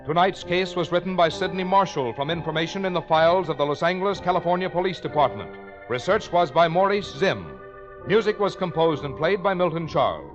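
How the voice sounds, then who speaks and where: Higher-voiced man announcing on muffled old-time radio. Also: animated. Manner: formal, deliberate enunciation. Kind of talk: 1950s radio announcing, scripted narration. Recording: muffled 1950 radio broadcast, faint music.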